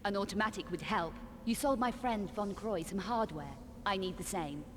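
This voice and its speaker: A woman's voice: medium pitch, normal speed, long reverb tail, close to an everyday voice. An actress voicing a determined young woman adventurer in a video game, cool, clipped and businesslike. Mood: pressing. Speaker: character in a video game cutscene.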